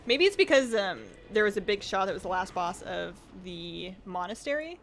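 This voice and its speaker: Woman, game streamer, casual rambling chat, slightly strained voice, mid-pitched, faint music behind. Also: big pitch swings, moderate pace. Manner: chatty, rambling, reads viewer comments aloud. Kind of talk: casual livestream chat while gaming.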